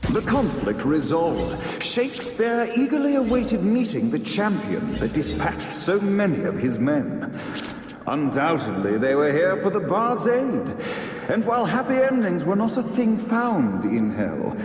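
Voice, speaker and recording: An actor playing a grandiose stage narrator in a video game, declaiming florid lines in a measured, dramatic way. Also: male voice, high voice, huge pitch swings, a little mellow, soft background music, exaggerated voice. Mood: excited, dramatic. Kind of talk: theatrical scripted game narration. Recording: echoey hall, distant, noisy backdrop.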